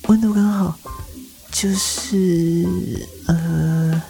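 Man playing shy, slow-speaking young Taiwanese man. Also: on the high side, fairly even intonation, creaky, soft background music, heavily acted, poor audio. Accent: Taiwanese Mandarin. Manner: hesitant, apologetic, soft-spoken. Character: shy, muddled, sweet young male dentist. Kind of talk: audio drama acting.

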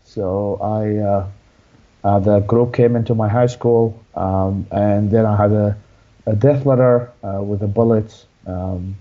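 A young man from Iraq, an interview guest telling his life story in a halting way with many restarts. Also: fairly low pitch, steady tone, phone-quality sound.

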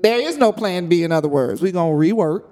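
A woman with a raspy voice, chatting animatedly as a guest on a talk show, laughing often. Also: fairly low pitch, animated, fast, warm tone. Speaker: guest, business owner. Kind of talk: casual chat.

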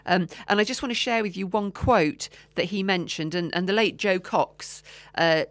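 A woman's voice: medium pitch, moderate pace, no echo. A woman co-hosting a podcast in casual chat, often trailing off with ums and restarts. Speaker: podcast co-host.

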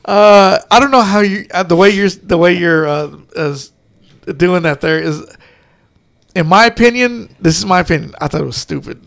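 Man, fairly high pitch, creaky gravelly voice, halting casual podcast talk. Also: fairly bright tone. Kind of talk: casual chat.

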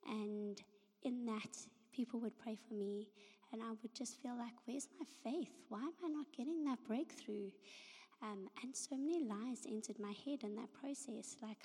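Woman, hoarse, breathy near-whisper, halting testimony, long hall reverb. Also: on the high side, dark tone. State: hoarse, half-lost voice from flu. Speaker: guest speaker. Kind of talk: personal faith testimony at a church service. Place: church hall.

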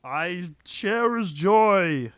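A man's voice: high voice, lively intonation, extremely slow, a bit bright, no echo, studio quality, full character voice. A man playing a petulant, self-justifying husband in an amateur sitcom table read. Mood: petulant, flippant.

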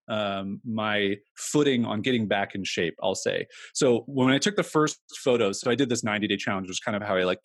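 A man's voice: medium pitch, husky, no echo. A man in his thirties, an entrepreneur talking candidly in an interview, rambling with many fillers. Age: 30s. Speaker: entrepreneur guest. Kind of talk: casual interview talk.

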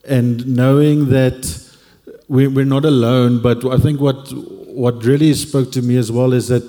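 Reflective man, mellow voice. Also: medium pitch, fairly even intonation, unhurried. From Cape Town, South Africa. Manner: reflective, hesitant, many fillers. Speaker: panel guest. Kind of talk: candid personal sharing on a church panel. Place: large church hall. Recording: long hall reverb.

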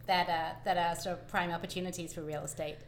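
A woman's voice: on the low side, little pitch movement, fast talker. A woman hosting a podcast, who asks conversational, curious questions and notes that she is not from the area. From not from Boston. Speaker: podcast host. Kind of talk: podcast interview.

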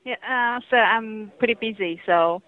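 A woman's voice: fairly high pitch, measured pace, over a noisy crowd. A woman with a Chinese accent, a native Chinese speaker, answering a restaurant phone in short, curt, repetitive phrases. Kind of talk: brief customer service phone talk. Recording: tinny, narrow telephone line.

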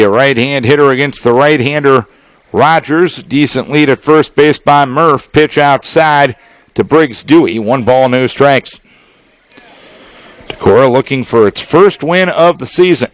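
A man with an American accent giving live baseball play-by-play on the radio, in measured, descriptive phrases with pauses between them. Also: medium pitch, dry recording, poor audio. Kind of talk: live sports play-by-play commentary.

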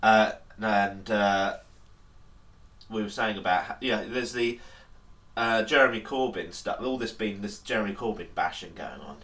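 Hesitant man with a bright voice. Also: fairly low pitch, recorded from a distance. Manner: hesitant, halting. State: stuffy with a cold, sneezing. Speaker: podcast co-host. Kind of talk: casual podcast chat.

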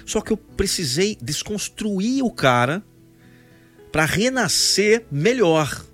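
An animated man with a São Paulo state Brazilian accent hosts a casual podcast. His pitch swings widely as he makes his points with emphasis. Music plays along with his voice. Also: slow pace. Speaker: podcast host, voice-over announcer and actor.

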